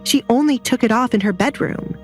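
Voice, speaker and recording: A woman's voice: fairly high pitch, brisk, darkish tone. Woman narrating romance fiction over music. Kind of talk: scripted narration of a romance audio drama.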